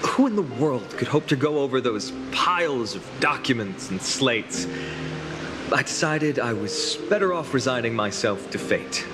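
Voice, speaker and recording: An actor playing an earnest young male hero in a game, sincere and reflective, with quiet self-doubt. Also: mid-range voice, animated, normal speed, music in the background, close to an everyday voice. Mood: wry, reminiscing. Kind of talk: dramatic video game dialogue.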